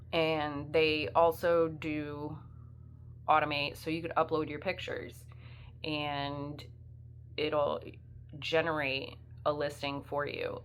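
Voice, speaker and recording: A woman's voice: low voice, a little hiss or hum. A woman with the flu, sniffly, chatting casually and rambling as a part-time reseller in her vlog. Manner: chatty, rambling, many fillers.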